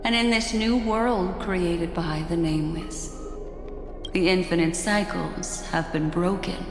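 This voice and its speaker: A mysterious, elegant seer in a video game, very slow and solemn in a lowish voice. A long hall reverb surrounds her words. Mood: serene, solemn. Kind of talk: video game story voice acting. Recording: echoey hall reverb, music behind.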